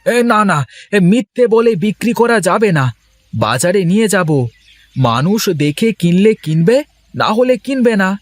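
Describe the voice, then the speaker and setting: Brief: cartoon fisherman, earnest and fretful, higher husky voice, male actor. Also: very animated, measured pace, heavily acted. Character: honest anxious village fisherman, lively. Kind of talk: Bengali cartoon voice acting.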